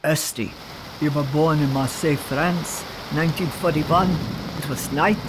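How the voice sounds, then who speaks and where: A woman playing a weary refugee father who tells his daughter about her birth, hushed and grave, slipping German words into his talk. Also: low voice, fairly even intonation, a little slow, noisy background, pitched below the performer's own voice. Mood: tender, grave. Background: music.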